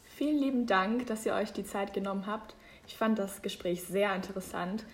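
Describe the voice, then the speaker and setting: A woman's voice: mid-range voice, normal speed. Woman hosting a scripted podcast. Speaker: podcast host.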